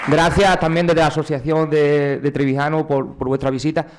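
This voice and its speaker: A man's voice: a little high, flat delivery, normal speed, a crowd in the background, noisy background. A man moderating a public tribute event, joking casually and handing over to speakers.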